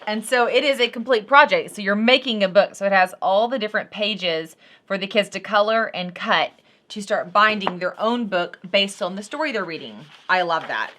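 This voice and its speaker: A woman's voice: medium pitch, moderate pace, fairly bright tone, a little hiss or hum. A woman in her thirties giving a casual, unscripted product review as a vlog host, talking to viewers in an informal, folksy way.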